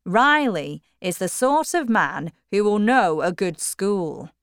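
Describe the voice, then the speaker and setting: A woman voicing a stubborn middle-aged miller, speaking louder and more forcefully than her narration. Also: medium pitch, animated, takes it slowly, clean tone, dry recording, clean studio sound. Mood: musing. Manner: forceful, emphatic, raised voice.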